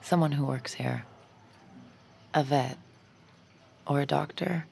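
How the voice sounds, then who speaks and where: Gravelly, low-voiced weary fugitive. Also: female voice, slow pace, a little mellow, exaggerated voice. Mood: determined, evasive. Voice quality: gravelly, breathy near-whisper at times. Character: weary, determined fugitive woman, haunted by lost love. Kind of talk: naturalistic audio drama acting.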